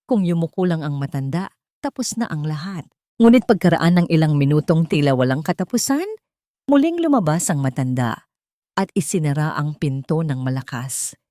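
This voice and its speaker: A woman's voice: low-pitched, expressive, normal speed, dark tone, no room sound, clean studio sound. A woman narrating a scripted story in a dramatic, suspenseful manner.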